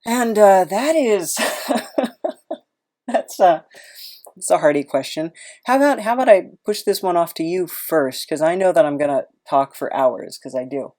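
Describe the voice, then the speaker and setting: A woman's voice: lowish voice, animated, unhurried, warm tone, faint music behind. A woman podcast host chatting in a casual, rambling, self-deprecating way.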